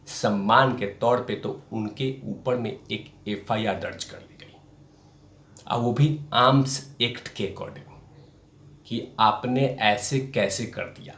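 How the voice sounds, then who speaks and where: A man's voice: lowish voice, a bit bright, slight background noise. A man hosting a political news commentary, speaking emphatically and repeating phrases for effect. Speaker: host of a political commentary show. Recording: roomy, echoing sound.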